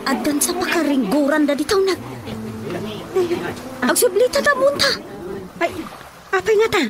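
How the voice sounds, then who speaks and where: A woman's voice: very high voice, sing-song, normal speed. A woman acting a gossipy, fussing village churchgoer in a radio drama, with an Ilocano accent and exclamatory delivery. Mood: urgent, reassuring. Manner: exclamatory, with repeated interjections. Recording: radio drama with loud music bed. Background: background music.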